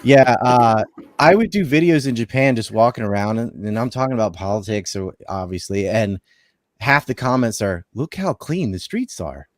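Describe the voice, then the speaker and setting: A man's voice: mid-range voice, expressive, normal speed, no room sound. A man on a podcast panel chatting casually, with a dry, wry, offhand manner. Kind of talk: casual chat.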